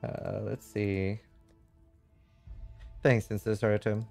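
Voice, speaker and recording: A man's voice: on the low side, little pitch movement, slow pace, gravelly, a little mellow, faint music behind. A man doing casual live game commentary, reading chat and thinking aloud in a frank, rambling way with some casual swearing. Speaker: livestreamer.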